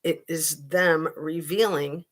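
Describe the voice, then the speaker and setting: A woman in her fifties with a lowish voice that sounds a little raspy today. She talks casually to her live chat, greeting viewers by name and filling her pauses with ums and you-knows. The sound comes from a webcam at home. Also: fairly even intonation. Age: 50s. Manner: chatty, frequent fillers, greets chat viewers. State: slightly raspy voice. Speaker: livestream host.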